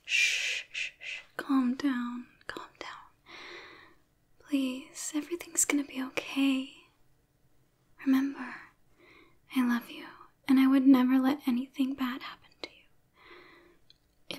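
A woman's voice: high-pitched, flat delivery, exaggerated voice. Woman acting a tender whispering young maid. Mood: soothing, pleading. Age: 20s. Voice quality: breathy whisper. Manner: slow, soothing, hushed. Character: tender young maid of a cult, soothing and devoted. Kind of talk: ASMR roleplay. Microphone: close microphone.

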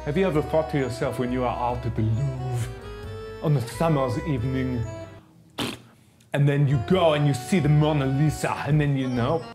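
A man's voice: mid-range voice, moderate pace, over music, voice pushed down, lightly acted. A man playing a pompous, theatrical French artist host, in a mock French accent with broken English. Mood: grandiose. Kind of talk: comedic art show hosting.

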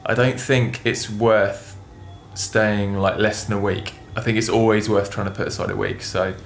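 A young man, talking excitedly to a handheld camera as a travel vlogger. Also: lowish voice, normal speed, creaky, soft background music. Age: young adult. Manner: chatty, excitable, talks to camera. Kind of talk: casual vlog narration. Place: car, outdoors and hotel room. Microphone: camera held at arm's length.

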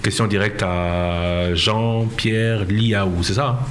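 A man's voice: fairly low pitch, steady tone, slow, background noise. A man in his forties with a Congolese French accent, speaking emphatically in a radio debate and switching between French and Lingala. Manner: emphatic, mixes French and Lingala, gestures while talking. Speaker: minister answering listener questions. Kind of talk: radio debate, answering questions. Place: radio studio at an expo. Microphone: studio microphone.

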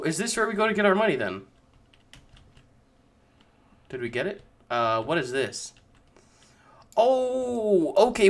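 A young man casually commenting on his own gameplay, chatty and excitable, talking to his viewers. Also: on the high side. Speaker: gaming YouTuber.

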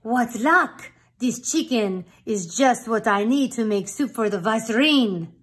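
A woman voicing a bossy male palace cook who speaks loudly and with exasperation. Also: a little high, animated, measured pace, slightly rough. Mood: gleeful. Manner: loud, exasperated.

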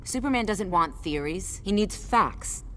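A woman's voice: medium pitch, animated, measured pace, pushed and bright, slight background noise. An actress playing a proud, imperious female Amazon warrior in a video game, speaking brusquely. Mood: impatient. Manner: brusque, impatient, haughty. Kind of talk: video game cutscene acting.

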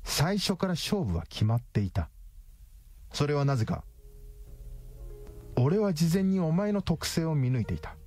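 A cocky detective, wildly animated pitch. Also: male voice, a little slow, pauses often, faint music behind. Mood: lecturing. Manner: smug, explanatory, theatrical. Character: cocky, calculating male detective, coolly triumphant. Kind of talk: radio drama acting.

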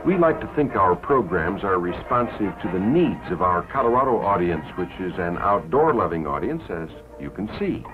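An American man narrating a 1950s promotional documentary in a measured, deliberate way with dramatic pauses. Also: deep voice, big pitch swings. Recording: tinny old film soundtrack, faint music.

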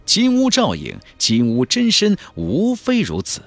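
A man's voice: high voice, wildly swooping pitch, measured pace, no room sound, faint music behind. A man narrating a fantasy novel as a dramatic audiobook, with a theatrical, emphatic delivery.

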